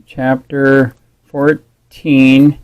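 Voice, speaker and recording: A man in a phone conversation, speaking as a caller disputing a rule in a halting, insistent way. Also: medium pitch, monotone, clean tone, lo-fi sound.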